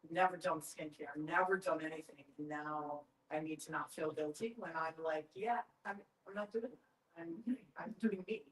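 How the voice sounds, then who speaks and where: A woman with a low voice speaks candidly about putting herself first, reflective and open. She sounds a little distant from the mic, and the room adds a noticeable echo. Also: normal speed, a bit bright. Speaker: workshop participant. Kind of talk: personal sharing.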